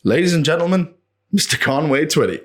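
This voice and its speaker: A man giving a casual, opinionated video essay in an animated, ranting manner, full of rhetorical questions and swearing. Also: a little high, a little fast. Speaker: host of a video essay channel.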